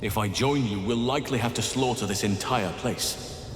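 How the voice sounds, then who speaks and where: A man playing an earnest, formal druid who takes bear form in a fantasy video game, speaking in a measured way. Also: lowish voice, a little hiss or hum, natural voice. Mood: grave, resolute. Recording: echoey reverberant hall, music behind.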